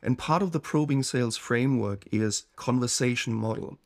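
Middle-aged male sales coach, gravelly voice, unhurried instructional monologue with frequent restarts. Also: mid-range voice. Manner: unhurried, repeats words while thinking.

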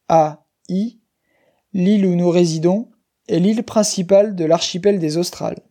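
A man in his twenties from western France, reading a scripted personal story slowly and clearly for language learners. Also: high voice, mellow. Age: 20s. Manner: slow, clear, careful reading. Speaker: guest speaker.

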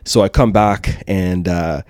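A man in his thirties telling a casual story as a podcast guest, rambling with many fillers and trailing off quietly. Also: deep voice, slow pace. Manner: rambling, lots of fillers, drops to a mutter.

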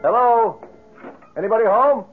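An actor playing an affable, helpful friend in an old-time radio drama, genial and a little hesitant. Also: male voice, extremely high pitch, moderate pace, soft background music. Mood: cheerful, genial. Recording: thin, muffled old radio recording.

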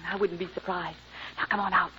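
Practical radio-sitcom wife, noisy recording. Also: female voice, fairly low pitch, flat delivery, fairly quick, bright, forceful tone, lo-fi sound. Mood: soothing. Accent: American. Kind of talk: old-time radio sitcom acting.

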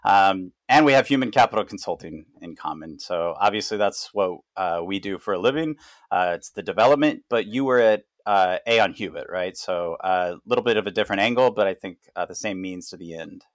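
Deep-voiced man hosting a podcast interview. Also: dry recording. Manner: frequent "uh" fillers, measured. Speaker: podcast host, founder of consulting firm. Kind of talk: casual interview.

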